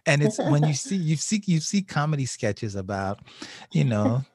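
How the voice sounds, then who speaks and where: A man's voice: a little high, very animated, moderate pace, a little mellow, husky, no echo, clean studio sound. A middle-aged man hosting a casual podcast, telling stories animatedly and acting out quotes with laughing asides. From Haiti. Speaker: podcast host, social worker.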